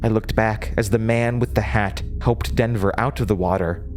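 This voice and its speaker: A man playing an unhinged, lonely, obsessive woman possessed by voices, in a halting, wistful horror audio drama monologue. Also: fairly low pitch, steady tone, darkish tone, faint music behind, lightly acted. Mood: haunted.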